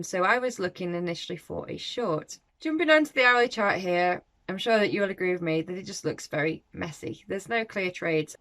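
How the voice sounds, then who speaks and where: A woman's voice: lowish voice, a bit bright. Chatty woman recapping her trades. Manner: chatty and reflective, with small laughs. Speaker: forex trading vlogger. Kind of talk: casual trade recap to camera.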